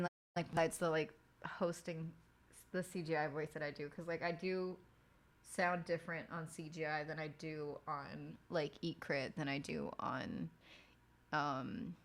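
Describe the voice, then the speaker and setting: Woman streamer, lower voice, chatty and profane, rambling filler-heavy casual talk. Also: steady tone, unhurried, long pauses. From outside the United States. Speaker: streamer and podcast host. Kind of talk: casual late-night chat while working.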